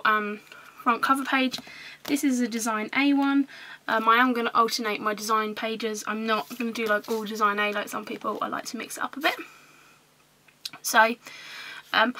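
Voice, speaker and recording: A woman with a hoarse voice, recovering from illness, chatting casually through a scrapbooking vlog with many ums. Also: a little high, sharp tone, slight background noise. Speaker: scrapbooking vlogger. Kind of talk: casual show-and-tell vlog.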